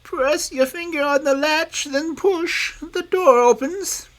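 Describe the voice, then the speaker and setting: A woman's voice: high voice, takes it slowly, pitched above the performer's own voice. A woman voicing a sly, scheming male wolf who talks in a coaxing, falsely sweet way.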